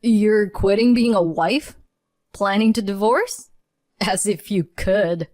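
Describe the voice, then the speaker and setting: A man's voice: sits high, sing-song, slow, heavily acted. A woman narrator voicing a scornful, lazy husband who mocks his wife in a spoken story. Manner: derisive, scoffing. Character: scornful heavy-drinking husband, lazy and mocking.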